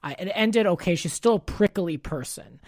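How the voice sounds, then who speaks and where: A man's voice: high voice, lively intonation, normal speed, darkish tone, no echo, studio quality. A man hosting a podcast, chatting casually about pop culture in an opinionated, talkative way. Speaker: podcast host.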